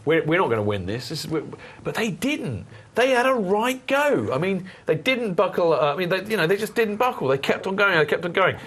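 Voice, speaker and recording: A man hosting a casual football podcast, animated and rambling, with many restarts. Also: high voice, fairly quick, few pauses, breathy edge. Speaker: podcast host.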